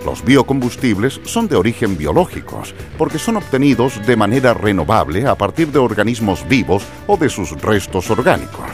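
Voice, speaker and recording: A male narrator reads scripted explanatory material. His voice is deep and animated in pitch, with music clearly behind it. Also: normal speed, hardly any pauses.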